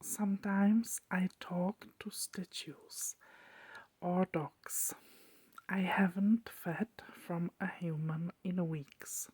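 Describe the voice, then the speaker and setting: Weary old vampire man, played by a woman: brooding, poetic, breathy ASMR whispering, close and dry. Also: lowish voice, fairly even intonation, no room sound, heavily acted. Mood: brooding, wistful. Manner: slow, hushed, halting.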